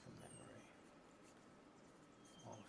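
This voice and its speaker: A man in his thirties improvising a story aloud for a solo tabletop game, hesitant and musing, often trailing off. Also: slow pace. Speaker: solo tabletop roleplay player and streamer. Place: indoors at a table in a theatre room. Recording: clearly noisy room recording.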